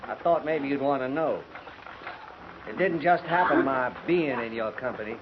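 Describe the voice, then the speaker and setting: A falsely humble, drawling cavalry trooper, as an actor plays him. He talks in a folksy, ingratiating way at a middling pitch. It comes through a dull old radio broadcast with faint hiss. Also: moderate pace, natural voice. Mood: quietly needling. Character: wheedling, needling old army acquaintance. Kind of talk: 1950s radio drama acting.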